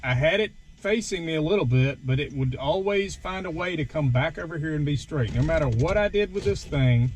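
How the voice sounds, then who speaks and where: Man, slightly high voice, informal gear demo, self-deprecating, clear noise inside a vehicle. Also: expressive, brisk, few pauses. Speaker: vlogger demonstrating his gear. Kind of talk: casual hands-on video walkthrough. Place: inside a pickup truck.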